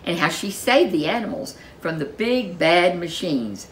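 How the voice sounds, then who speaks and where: Low-voiced, animated woman storyteller. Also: a little slow, a little hiss or hum, rough recording. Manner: expressive, dramatic. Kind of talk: children's story narration.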